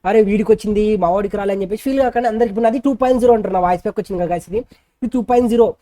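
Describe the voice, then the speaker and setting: A woman's voice: medium pitch, very fast, mellow, dry recording. A woman with a Telugu accent chatting casually to viewers as a gaming streamer, mixing in informal English slang. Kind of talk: casual livestream chat to viewers.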